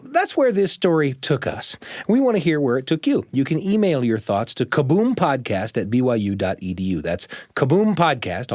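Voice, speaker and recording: Expressive male podcast host, dry close sound. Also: medium pitch, no echo. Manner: storytelling, musing with rhetorical questions. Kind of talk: conversational reflection and show announcements.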